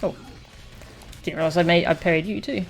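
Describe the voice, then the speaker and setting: A woman's voice: fairly low pitch, brisk, vocal fry, soft background music. A woman streaming a video game, giving casual live commentary as she plays.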